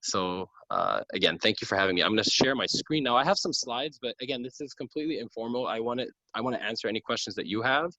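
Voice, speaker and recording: A man's voice: mid-range voice, animated, fast, few pauses, pushed and bright, no room sound. A man, a doctor giving an informal lecture over a video call, earnest and full of ums and restarts. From Lebanon. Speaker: gynecologic oncologist giving a lecture. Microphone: computer mic on a video call. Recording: dull, dry video call audio.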